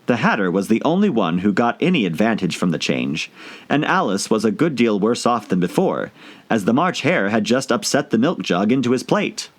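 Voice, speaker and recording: Expressive male storybook narrator. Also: on the low side, moderate pace. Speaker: audiobook narrator.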